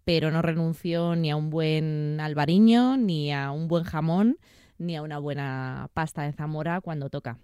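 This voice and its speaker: A woman from Madrid, a personal trainer and former elite athlete, speaking as a podcast guest and explaining eagerly in quick bursts. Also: deep voice, dark tone, clean tone, no echo, clean studio sound. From Madrid, Spain. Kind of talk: casual interview.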